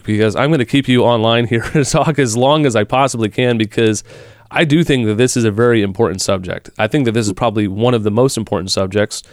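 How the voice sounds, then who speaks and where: A man's voice: medium pitch, no echo, clean studio sound. A man hosting a podcast interview on faith, talking in a conversational way and thinking aloud. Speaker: podcast host.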